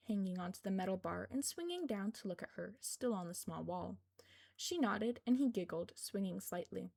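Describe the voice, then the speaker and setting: A woman's voice: medium pitch, creaky, a little mellow. A woman reading scripted fiction aloud as narrator of a fan fiction podfic.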